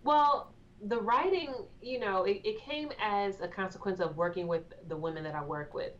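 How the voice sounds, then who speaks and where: A woman's voice: medium pitch, moderate pace. A woman from Austin, Texas, a therapist, explaining her work in a composed, articulate way. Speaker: therapist and guest. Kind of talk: interview answers. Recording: dull lo-fi sound with faint noise.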